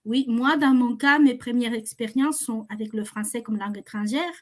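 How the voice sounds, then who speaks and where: A woman teacher whose first language is not French talks on a video call panel. Her voice sits a bit high, and she hesitates often as she searches for words. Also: moderate pace. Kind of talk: unscripted panel discussion.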